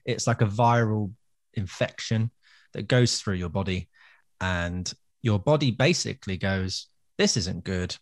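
A man's voice: fairly low pitch, unhurried, no echo. A man co-hosting a podcast, chatting casually and explaining things for listeners. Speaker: podcast co-host. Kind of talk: casual chat.

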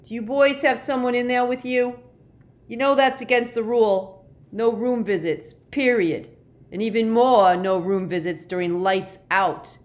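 A woman's voice: fairly high pitch, steady tone, a little slow, voice pushed up, close to an everyday voice. A woman voicing a bullying, gloating male rule enforcer in a storybook, roaring and officious. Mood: menacing, gloating.

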